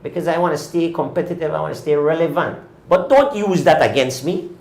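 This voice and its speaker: A man's voice: fairly high pitch, animated, a little fast, gravelly, a little mellow, reverberant. A man in his fifties hosting a cycling talk show, ranting indignantly and pressing his points with repeated rhetorical questions. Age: 50s. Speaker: cycling podcast host. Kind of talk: opinionated talk-show rant.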